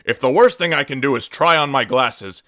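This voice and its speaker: A man's voice: mid-range voice, moderate pace, sharp tone, no echo, studio quality. A man doing a scripted reading of game monologues.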